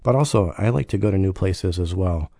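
A man with a low, very dark and mellow voice speaks as a guest expert on a radio show. He answers at an even pace and slips in deadpan jokes. His voice is dry and close to the mic. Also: fairly quick, creaky, dry recording.

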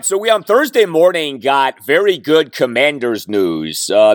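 A man hosting a sports podcast with a hoarse, fading voice from a cold, running through topics in a plainspoken, self-deprecating way. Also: medium pitch, no room sound, studio quality. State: hoarse, losing his voice from a cold. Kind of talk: solo sports podcast talk.

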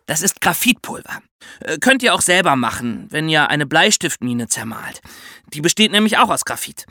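A man co-hosting a children's podcast with a bright, crisp edge to his voice. He explains things step by step and speaks straight to his young audience. His delivery swings up and down with plenty of animation, recorded dry. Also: fairly high pitch, sing-song, normal speed, no echo. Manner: instructive, addresses young listeners directly. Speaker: podcast co-host.

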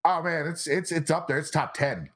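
A young man born in South Korea, a guest on a podcast, chatting casually with a relaxed, filler-heavy way of talking. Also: fairly high pitch, moderate pace. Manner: relaxed, laid-back banter with frequent fillers. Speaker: guest analyst.

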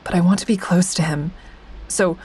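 A woman's voice: fairly low pitch, steady tone, normal speed, darkish tone, slight background noise, natural voice, rough recording. A woman playing an awkward, smitten survivor who narrates her own story in an audio drama, confiding and self-deprecating. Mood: confiding, nervous.

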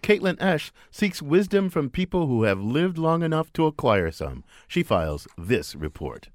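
A man's voice: fairly high pitch, sing-song, normal speed, dry recording, clean studio sound. A man co-hosting a radio talk show, wry and conversational in scripted banter. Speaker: radio co-host.

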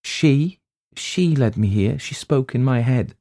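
A man voicing a gentle, hesitant young female teacher in a read story. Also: medium pitch, expressive, slow pace, no room sound, voice pushed up. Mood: hushed.

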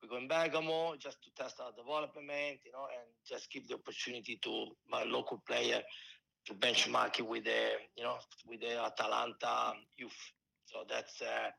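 Man, Italian accent, forties, halting with restarts, bright tone. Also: medium pitch, flat delivery, gravelly, low-quality recording. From Italy. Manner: halting, many restarts and fillers. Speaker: football coach and scout. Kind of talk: casual interview answers.

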